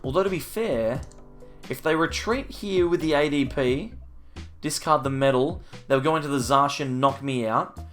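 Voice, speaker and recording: A man with a slightly croaky voice from hay fever talks through his own card game move by move. He muses aloud and hesitates with ums, in a voice of ordinary middle pitch. Faint guitar music plays softly behind him. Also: normal speed. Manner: thinking aloud, musing over each move with frequent ums. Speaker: card game channel host. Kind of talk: casual play-by-play commentary of his own online card game.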